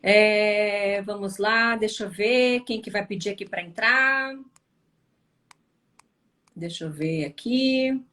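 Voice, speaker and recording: A woman's voice: mid-range voice, slow pace, long pauses, a bit bright. A tired woman from Brazil hosting a casual live stream, answering viewers with lots of tag questions. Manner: chatty, frequent tag questions.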